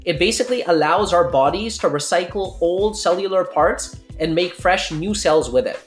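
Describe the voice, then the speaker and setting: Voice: medium pitch, lively intonation, normal speed. A podcast host in their late thirties explaining health topics in a casual way, often checking in with the listener. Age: 30s. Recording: roomy echo with faint music.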